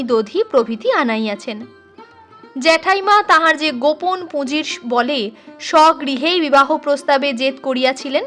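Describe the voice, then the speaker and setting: High-pitched woman narrating a story. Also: bright, forceful tone. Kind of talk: scripted literary story narration. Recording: music under the voice. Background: instrumental music.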